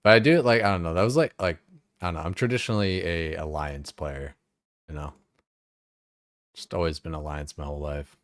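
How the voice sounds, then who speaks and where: Deep-voiced rambling young streamer man. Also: a little fast, pauses often, no echo, clean studio sound. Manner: rambling, heavy on fillers and swearing. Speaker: game streamer. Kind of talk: casual chat while playing.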